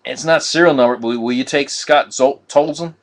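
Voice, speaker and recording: Monotone man hosting a live card break. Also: mid-range voice, moderate pace. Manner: monotone, says so himself; chatty, addresses viewers as guys. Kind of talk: casual live-stream commentary.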